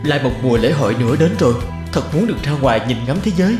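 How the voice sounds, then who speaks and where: A man's voice: mid-range voice, lively intonation, racing, a little mellow, close to an everyday voice. A man playing a brave young prince in a cartoon, speaking in an earnest, heroic manner. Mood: longing, pleading. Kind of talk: cartoon voice acting. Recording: music behind.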